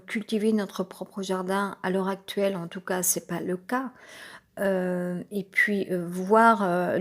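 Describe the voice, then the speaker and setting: Woman with a cold, halting monologue. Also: mid-range voice. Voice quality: congested, nasal from a cold. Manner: halting, many fillers and restarts. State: has a cold. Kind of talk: rambling personal monologue.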